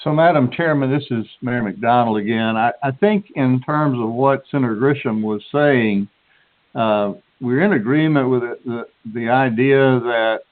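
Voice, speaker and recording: Man, mayor, medium pitch, measured and halting, deliberative, tinny phone audio. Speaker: mayor on a committee. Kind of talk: deliberative meeting talk. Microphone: telephone. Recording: tinny conference phone line.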